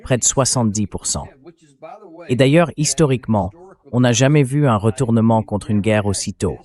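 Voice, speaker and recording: A man's voice: medium pitch, normal speed, darkish tone. A man hosting a political interview show, speaking in a direct, conversational commentary style.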